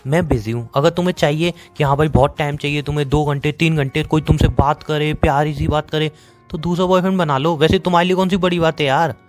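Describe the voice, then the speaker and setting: A man's voice: a little high, steady tone, soft background music, lightly acted. A man with an Indian accent playing a sarcastic, dismissive young boyfriend in a phone-call romance drama. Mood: sarcastic, accusing. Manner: sarcastic, dismissive, rapid-fire. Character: bitter, sarcastic young boyfriend fed up with his girlfriend.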